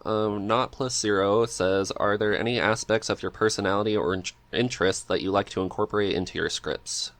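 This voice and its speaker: A man's voice: lowish voice, fairly even intonation, brisk, few pauses, fairly bright tone. A man hosting a casual interview chat, speaking in a hesitant, agreeable and informal way as he goes. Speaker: podcast co-host reading questions.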